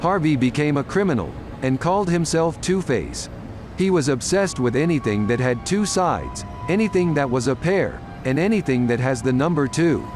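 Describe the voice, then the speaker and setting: A man's voice: a little high, big pitch swings, normal speed. A man narrating a scripted audio drama story. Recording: loud music under the voice.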